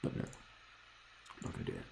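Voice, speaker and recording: A man with a hoarse voice casually thinking aloud while reviewing trading charts, rambling, swearing freely and correcting himself. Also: normal speed, darkish tone, a little hiss or hum. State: hoarse, lost voice from screaming. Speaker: forex trader reviewing charts.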